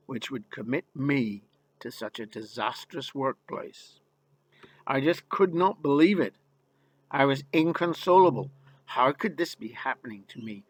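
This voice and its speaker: A man's voice: mid-range voice, fairly bright tone, dry recording. An older man from Ireland telling his own life story in the first person, in a wry, rueful and emphatic way. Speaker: memoir narrator.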